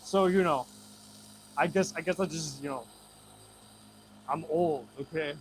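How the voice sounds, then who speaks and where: Chatty young man, slightly high voice. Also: measured pace, a little hiss or hum, rough recording. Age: 20s. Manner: reads viewer comments aloud and rambles back; laughs a lot, stumbles and repeats words. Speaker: streamer. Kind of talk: casual livestream chat.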